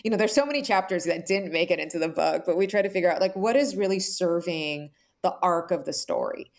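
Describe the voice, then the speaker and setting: Earnest woman, slightly low voice. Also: fairly quick. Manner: earnest, explanatory, frequent "like" and "right?". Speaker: author, interview guest. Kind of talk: reflective, conversational podcast interview answers.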